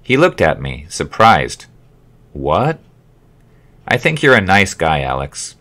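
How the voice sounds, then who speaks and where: A man narrating his own memoir as an audiobook, with expressive, wry storytelling. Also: sits low, takes it slowly. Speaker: author reading own memoir.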